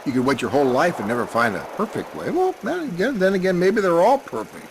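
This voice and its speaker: Chill surfer dude penguin, rambly drawl, game interview, clear noise behind him. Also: male voice, fairly high pitch, sing-song, fast talker. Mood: musing. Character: laid-back male penguin surfing veteran, rambling dude. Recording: loud background noise.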